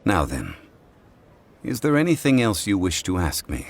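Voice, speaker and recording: Ancient god for a fantasy game: deep, composed, measured, stately diction. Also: male voice, wildly swooping pitch, vocal fry, full character voice. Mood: solemn. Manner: formal, measured, eloquent. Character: ancient dignified god in mortal man's form, calm. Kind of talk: video game voice acting.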